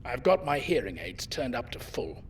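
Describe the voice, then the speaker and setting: An actor playing a precise, conspiratorial old care-home resident in an audio drama. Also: male voice, mid-range voice, big pitch swings, moderate pace, a little hiss or hum. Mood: alert. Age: older.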